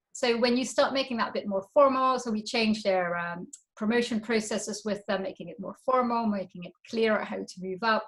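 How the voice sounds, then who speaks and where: A woman consultant hosts a small webinar over a video call. She speaks in a conversational, thinking-aloud way, friendly as she prompts others to share, with a voice of middling pitch. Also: a bit bright, low-quality recording. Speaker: diversity consultant hosting a webinar. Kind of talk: webinar presentation and group round.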